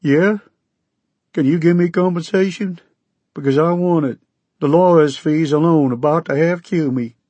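A hulking middle-aged farmer from Oklahoma, played by a man reading aloud, hollers through a pinched, high, twangy Oklahoma accent, grief boiling into rage. The tone has a rough, husky edge, and the recording is close and dry with no room around it. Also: normal speed, no echo, close to an everyday voice. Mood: enraged. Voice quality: twangy, shouting. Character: big furious weeping middle-aged male Okie farmer.

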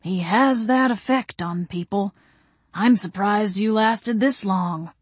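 An elderly gentleman ghost from an audiobook novel, acted out by a woman. He is courtly and amiable, with slow, genteel phrasing and a dry delivery. The sound is close, with no room on it. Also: medium pitch, breathy edge, no room sound. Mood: sympathetic, dry.

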